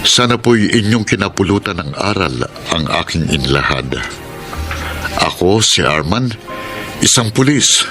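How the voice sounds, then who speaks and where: A man's voice: deep voice, lively intonation, unhurried. A man playing a remorseful policeman father in a radio drama, earnest and emotional. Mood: reflective, solemn. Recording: loud music under the voice.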